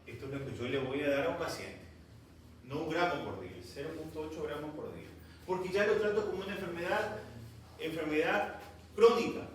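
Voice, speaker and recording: A man's voice: on the high side, fairly quick, a little hiss or hum. A man giving a medical lecture to students, stern and scolding at times. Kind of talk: classroom lecture. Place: classroom. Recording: echoey classroom from far off.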